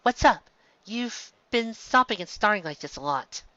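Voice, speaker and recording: A narrator voicing an earnest young female unicorn pony who leads in a high, forceful voice. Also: lively intonation, normal speed, no echo, muffled recording. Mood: concerned.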